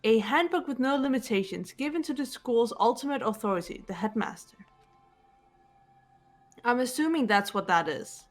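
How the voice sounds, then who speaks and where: A woman's voice: on the high side, lively intonation, faint music behind. A woman voicing a composed, guarded, analytical teenage girl detective in a fan-dubbed game. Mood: cool, analytical. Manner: measured, reserved, matter-of-fact delivery. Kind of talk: fan voice acting of a visual novel game.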